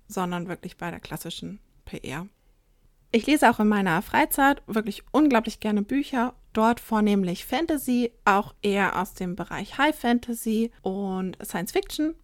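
A woman's voice: medium pitch, dry recording, clean studio sound. A thirty-one-year-old woman from Saxony-Anhalt, co-hosting a podcast in a friendly, casual way. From Saxony-Anhalt, Germany. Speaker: podcast co-host.

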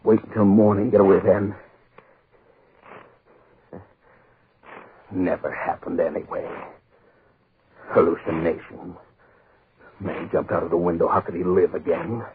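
A man's voice: low-pitched, fairly even intonation, normal speed, close to an everyday voice. Man acting a panicky businessman, old noisy radio. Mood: frightened muttering. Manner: agitated, blurting short broken phrases. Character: cowardly guilty newspaper publisher, terrified and pleading. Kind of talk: 1940s radio horror acting. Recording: noisy narrow 1940s radio recording.

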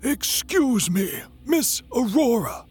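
A woman playing a formal, polite but firm courier to a vampire prince in an audio drama, speaking in measured, deferential phrases. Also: a little high, big pitch swings, a little mellow, husky, faint music behind, exaggerated voice. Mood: formal, insistent.

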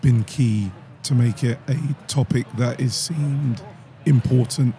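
A man with a husky voice today, a guest founder speaking thoughtfully in a podcast interview, pausing with fillers. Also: medium pitch, steady tone, mellow, soft background music. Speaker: guest, founder of a talent platform.

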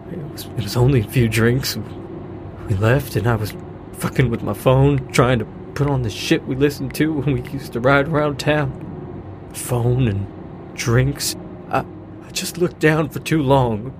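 A man playing a grieving, apologetic middle-aged widowed father with a halting delivery in a naturalistic audio drama. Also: medium pitch, noisy background, close to an everyday voice. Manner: halting, hesitant, sighing delivery. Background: music.

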